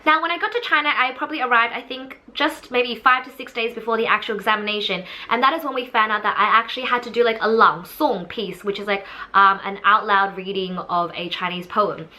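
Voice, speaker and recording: A woman's voice: a little high, a little fast, few pauses, bright, forceful tone. A young woman, casually telling the story of her audition to camera in a chatty, candid way, with many fillers. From Australia.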